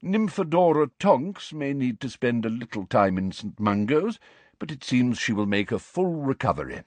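A man voicing a calm, very old male headmaster who speaks quietly and gently. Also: medium pitch, normal speed, dry recording. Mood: calm, gentle.

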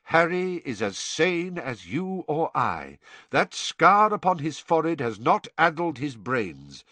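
A man voicing a calm, powerful elderly male wizard who speaks in a cool, measured, firm way, in a storybook reading. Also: fairly high pitch, no echo, pitched above the performer's own voice, full character voice.

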